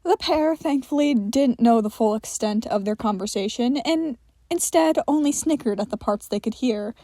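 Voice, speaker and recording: A woman's voice: a little high, moderate pace, dark tone, dry recording. A woman narrating a scripted audio story. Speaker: podfic narrator.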